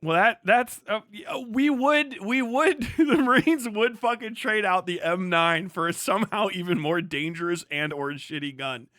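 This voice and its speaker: Animated, bright-voiced man ranting with heavy swearing. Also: high voice, normal speed, few pauses, no echo, studio quality. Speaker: livestream host reacting to videos. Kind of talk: casual profane livestream commentary.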